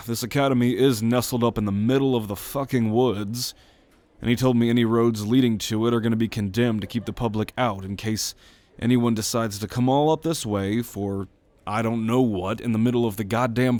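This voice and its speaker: A man's voice: mid-range voice, steady tone, normal speed, darkish tone, no echo, lightly acted. A man voicing a sardonic, foul-mouthed young student in an exasperated drawl for an ASMR roleplay audio drama. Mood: grim, exasperated. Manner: exasperated, sarcastic, swearing drawl.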